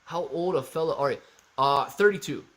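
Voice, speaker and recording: A man in his thirties hosting a live stock market Q&A, reading viewer chat with playful, animated banter. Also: a little high, normal speed. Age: 30s.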